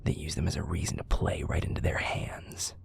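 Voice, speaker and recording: Audio roleplay casting: male actor for a bitter, threatening werewolf pack enforcer, deep and extremely husky, profane and gruff. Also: flat delivery, brisk, whispered, full character voice. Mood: angry, embittered. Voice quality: gravelly, husky, breathy. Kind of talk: scripted audio roleplay acting.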